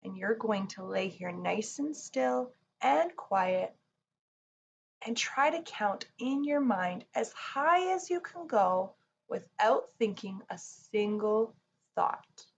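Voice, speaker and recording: Woman doing calm kids relaxation reading, slow measured pace, soft gentle manner, slightly muffled recording. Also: medium pitch, gravelly. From Canada. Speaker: children's yoga teacher reading and guiding relaxation. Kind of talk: slow guided relaxation and read-aloud for children. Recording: slightly dull sound, faint noise.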